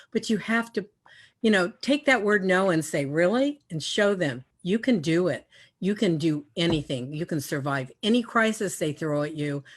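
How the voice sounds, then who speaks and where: A woman with a lowish voice shares a personal story on a video call. She is earnest, acts out the voices she quotes, and laughs at herself. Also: breathy edge. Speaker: auctioneer and realtor.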